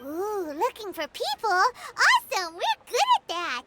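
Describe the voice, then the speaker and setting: A woman voicing a tiny, excitable, cheeky fairy companion with a childlike squeaky voice in a video game. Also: measured pace, very noisy, exaggerated voice. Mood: excited. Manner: refers to self in third person, exclamatory. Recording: music behind the voice.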